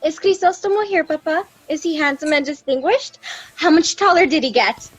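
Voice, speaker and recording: A woman's voice: high voice, moderate pace, highs cut off, close to an everyday voice. An actress playing a sweet, eager young daughter in a radio drama, girlish and polite. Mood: eager, wistful.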